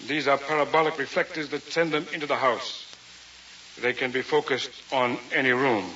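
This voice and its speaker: A man's voice: mid-range voice, steady tone, normal speed, bright, forceful tone, close to an everyday voice. A man acting as an arrogant, coldly rational scientist and domineering husband in a vintage radio drama, with a pompous, lecturing manner. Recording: muffled, hissy vintage radio recording.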